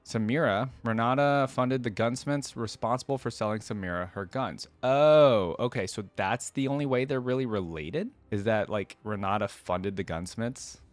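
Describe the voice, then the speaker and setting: Man, American accent, fairly deep pitch, casual commentary, thinking aloud, dry room-free sound. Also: no echo. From United States. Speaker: reaction video host. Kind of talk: casual reaction commentary, reading aloud with asides.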